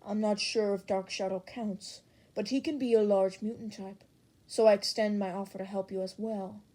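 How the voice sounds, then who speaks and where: A woman's voice: medium pitch, steady tone, moderate pace, mellow, natural voice. A woman voicing a solemn, formal teenage hero student in an audiobook. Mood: earnest.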